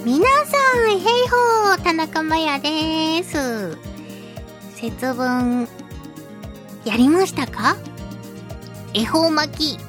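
A woman hosting a casual solo radio talk, chatting in a playful manner. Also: sits high. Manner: playful, chatty, laughs often. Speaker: radio show host and voice actress.

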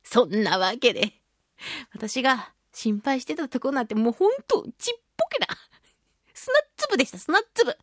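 A woman talks as a radio host in a casual, expressive monologue. Her voice is high and swings widely in pitch as she recounts things. The sound is dry, with little room in it. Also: moderate pace, dry recording. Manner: animated, expressive, acts out reactions and quotes. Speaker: radio show host, voice actress. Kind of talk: casual monologue talk, recounting a film outing.

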